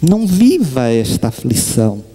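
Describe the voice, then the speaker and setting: A middle-aged man from Brazil giving a spiritist lecture, telling stories with lively gestures and acting out the voices he quotes. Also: medium pitch, normal speed, husky. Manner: animated storytelling, acts out quoted voices, gestures broadly. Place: stage with sunflower backdrop, large hall. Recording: reverberant hall.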